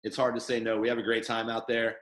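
A man hosting a relaxed podcast, rambling along with plenty of fillers and false starts. His voice sits in an ordinary middle range. The room around him rings with noticeable echo. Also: mid-range voice, fast. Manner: loose, rambling, with many fillers and restarts. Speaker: podcast host. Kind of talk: casual podcast chat. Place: studio headquarters room.

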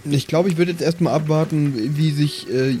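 A man's voice: a little high, fairly even intonation, normal speed, warm tone, a little hiss or hum. A man chatting casually as a player in an improvised tabletop roleplaying game. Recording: music behind the voice. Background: background music.

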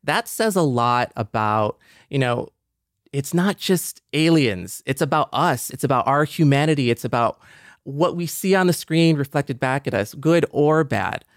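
A man's voice: medium pitch, lively intonation, unhurried, no echo. Earnest male podcast co-host, dry sound. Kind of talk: casual podcast conversation.

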